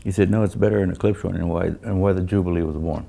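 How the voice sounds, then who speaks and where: A man's voice: low-pitched, steady tone, fast talker, creaky, warm tone. A middle-aged or older man talking casually as an engineer, explaining through anecdotes and often restarting his phrases. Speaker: veteran loudspeaker engineer. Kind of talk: casual technical conversation.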